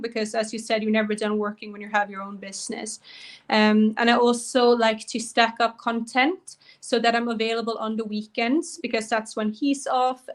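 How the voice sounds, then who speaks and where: Young Norwegian woman guest, accented English, slightly high and level delivery, careful word choice. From Norway. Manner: thoughtful, searches for English words. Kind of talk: interview answers.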